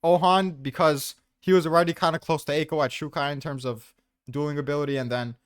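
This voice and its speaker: A man's voice: a little high, steady tone, a little fast, clear, resonant tone, no echo, studio quality. A man in a casual chat, arguing his points with offhand swearing.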